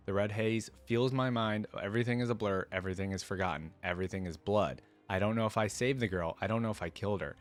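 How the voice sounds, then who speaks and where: Man, low voice, reaction host, story reading, casual commentary.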